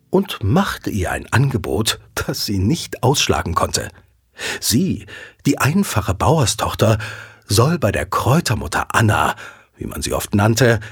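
A man narrating a scripted audio drama as a dramatic, expressive storyteller. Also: medium pitch. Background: background music.

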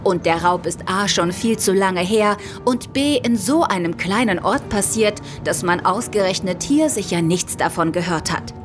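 A woman's voice: mid-range voice, normal speed, few pauses, music in the background, lightly acted. An actress playing a cunning, nervous female art thief who keeps up a polite front in an audio drama. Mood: scheming. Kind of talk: German audio drama acting with inner monologue.

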